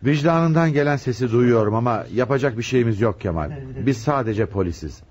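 An actor playing a measured, authoritative and resigned chief police inspector in a radio drama. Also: male voice, mid-range voice, animated, darkish tone, natural voice. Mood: grave, resigned. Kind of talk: Turkish radio drama acting. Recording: muffled, slightly noisy radio drama recording.

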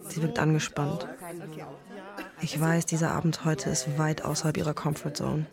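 A woman's voice: low-pitched, level tone, normal speed, gravelly, crowd noise. A woman playing a conflicted podcaster who narrates her love story in the first person, intimate and introspective. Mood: introspective, uneasy. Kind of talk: scripted first-person narration, present tense.

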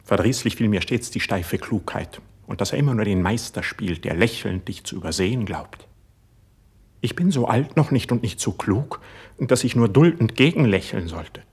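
A young poet of a classic radio drama, proud and deeply wounded. His voice sits low, with a breathy, husky grain. He speaks verse with measured, brooding intensity. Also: expressive, a little mellow, heavily acted. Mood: proud, defiant.

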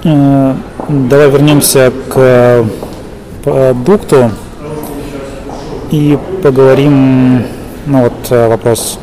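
Halting male interviewer with crowd behind. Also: medium pitch, little pitch movement, rough recording. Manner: halting, rephrases questions. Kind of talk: informal podcast interview. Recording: clear crowd noise behind. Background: conference crowd.

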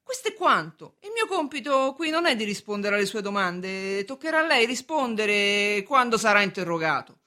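A woman's voice: medium pitch, big pitch swings, moderate pace, few pauses, pushed and bright. A woman playing a condescending, evasive police brigadier in a radio play, with an officious, patronising delivery. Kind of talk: radio drama stage acting.

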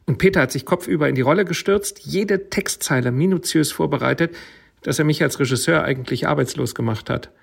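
A man's voice: fairly high pitch, fairly quick, creaky, slightly rough. A man speaking as a radio play director, giving a spoken tribute.

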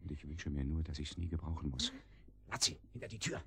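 An actor playing a menacing, mocking kidnapper in a radio drama, with a sarcastic, domineering manner of taunting. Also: male voice, very deep voice, expressive, a little fast, vocal fry, heavily acted. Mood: quiet threat. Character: menacing, mocking male kidnapper, boss of a sidekick. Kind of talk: German radio drama acting.

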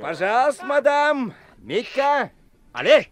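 An actor playing a street organ grinder who loudly announces acts and sells fortunes in a radio play. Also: male voice, very high voice, very animated, slow, exaggerated voice. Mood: hawking. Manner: theatrical barker patter. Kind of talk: 1980s radio play acting. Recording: radio play with crowd behind.

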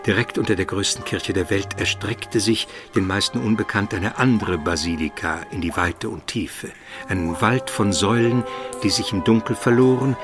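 A man's voice: fairly low pitch, natural voice. A man playing an educated Byzantine minister who narrates his memories solemnly and vividly in a radio play. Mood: measured, awed. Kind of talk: dramatic scripted first-person narration, radio play.

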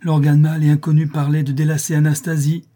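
A melodramatic old male porter acted out by a male reader, grandly dignified and easily scandalized. His storybook speeches are formal and exclamatory, in a husky, mellow voice. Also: mid-range voice, normal speed, close to an everyday voice. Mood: outraged, frantic.

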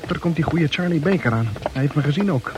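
An actor playing a fretful muttering bachelor. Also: male voice, fairly high pitch, lively intonation, quick pace, natural voice. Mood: fretting. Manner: anxious inner monologue. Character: nervous bachelor muttering his thoughts. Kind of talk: 1960s radio play acting. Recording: noisy old radio play recording.

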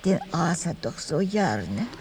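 An actress playing a simple, gossipy, awestruck townswoman in a radio drama, exclaiming with drawn-out words. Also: on the low side, sing-song, a little mellow, a little hiss or hum. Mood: gossiping.

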